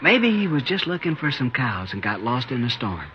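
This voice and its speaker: An actor playing a nervous, simple-minded young outlaw in a 1950s radio western, American, stammering and whining. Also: male voice, medium pitch, big pitch swings, normal speed, natural voice. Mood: nervous, defensive. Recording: dull, noisy 1950s radio recording.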